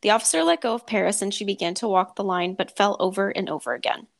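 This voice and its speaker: A woman's voice: medium pitch, expressive, quick pace, creaky. A woman podcast host, talking and reading a story aloud in a dramatic way.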